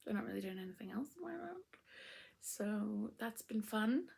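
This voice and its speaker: A woman hosting a casual crafting podcast, her voice still croaky after illness, talking in a chatty, rambling way with frequent ums. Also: medium pitch, animated, moderate pace, darkish tone. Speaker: knitting podcast host.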